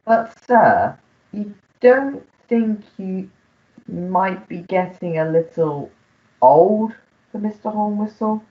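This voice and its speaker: A woman's voice: medium pitch, lively intonation, slow, lo-fi sound. A woman playing a long-suffering, deferential English manservant in a comic melodrama radio play, formal and apologetic. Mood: tactful.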